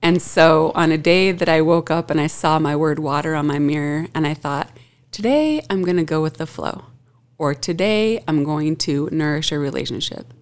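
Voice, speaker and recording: A woman talking in a relaxed, reflective way in a casual group chat, often breaking into laughter. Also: low-pitched, normal speed, clear, resonant tone.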